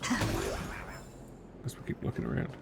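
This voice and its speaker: A man's voice: slow, over loud music. A man streaming a video game with casual commentary, musing and thinking aloud as he plays.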